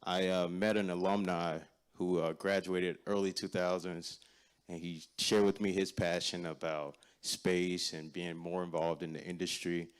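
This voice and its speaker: A man's voice: low voice, flat delivery, measured pace, smooth. A man giving a hesitant self-introduction as an incoming student, with restarts and fillers. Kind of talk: short self-introduction at a ceremony.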